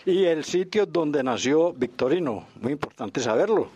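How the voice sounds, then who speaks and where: A man hosting a radio interview with formal, deliberate phrasing. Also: on the high side, animated, darkish tone, husky.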